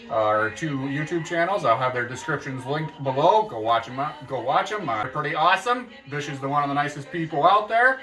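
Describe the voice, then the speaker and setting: Bright-voiced man rambling about books. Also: a little high, far from the mic, rough recording. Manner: jokey, meandering, self-correcting. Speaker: book vlogger. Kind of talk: casual rambling book chat.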